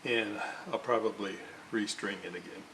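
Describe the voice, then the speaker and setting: A man giving a casual hands-on repair walkthrough, halting and muttering to himself as he works. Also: lowish voice, fairly even intonation, noisy background. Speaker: hobbyist radio restorer, presenter.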